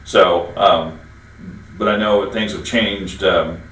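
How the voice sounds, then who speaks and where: A man's voice: deep voice, steady tone, takes it slowly, fairly bright tone. A man hosting a local business interview show, friendly and rambling with many fillers, recorded from a distance in a roomy space. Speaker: interview host.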